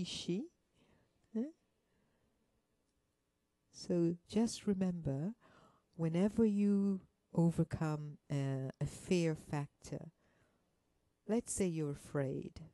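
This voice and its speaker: Female workshop speaker, unhurried and soft-toned, gently coaxing an audience. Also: on the low side, no echo. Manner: gentle coaxing, with rhetorical questions and endearments. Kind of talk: interactive motivational talk to an audience.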